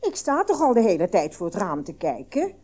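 A woman's voice: mid-range voice, wildly swooping pitch, a little fast, mellow. A woman playing a good-natured adult in a children's musical audio play on an old cassette. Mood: reassuring, mildly impatient.

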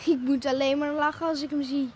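A woman playing a lively, outspoken young village schoolgirl in a Dutch radio play. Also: high-pitched, brisk, lightly acted. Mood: chatty, teasing.

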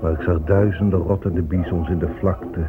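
Man as deep-voiced, dignified Native elder. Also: steady tone, normal speed, lightly acted. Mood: bitter sorrow. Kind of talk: solemn radio drama oration. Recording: muffled phone-like old radio recording. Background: music.

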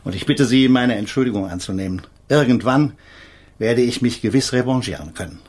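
A middle-aged man playing an eminent defence lawyer in a radio crime drama, husky and formal, with measured, patronising courtesy. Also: medium pitch, lively intonation, darkish tone, close to an everyday voice. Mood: courteous, condescending.

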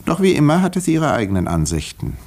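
An actor playing a measured, wry village doctor who narrates a murder mystery in a 1950s radio play. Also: male voice, on the high side, wildly swooping pitch, darkish tone, full character voice. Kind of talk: scripted first-person narration, 1950s radio acting.

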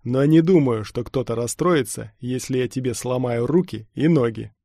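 A man's voice: medium pitch, normal speed, warm tone, no echo, lightly acted. Guy doing a huge cyborg thug for an audiobook, growly, sneering, threatening, dry sound. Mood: mocking menace. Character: huge cyborg assault trooper, menacing bully. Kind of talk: audiobook character acting.

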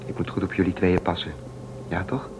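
A man playing a calm, protective young male space traveler who reasons things through in a radio drama. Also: deep voice, fairly quick, natural voice. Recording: noisy old tape.

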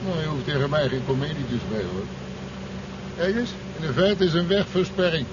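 An actor playing a cheeky, rebellious truck driver in a radio drama, with a coarse, slangy, mocking way of talking. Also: male voice, expressive, fairly quick, close to an everyday voice. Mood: gruff, chatty. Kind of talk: 1970s radio drama acting. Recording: dull, noisy 1970s radio recording, engine rumble. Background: vehicle engine noise.

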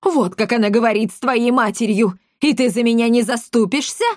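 A woman's voice: mid-range voice, expressive, normal speed, no room sound, studio quality, close to an everyday voice. A woman voicing a tiny old mother in a storybook, domineering, scolding and theatrically outraged. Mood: shrill outrage.

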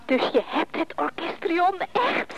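A woman's voice: very high-pitched, very animated, takes it slowly, gravelly, heavy background noise, heavily acted. A woman playing an eager, obliging confidante on the telephone in a radio play, questioning and businesslike.

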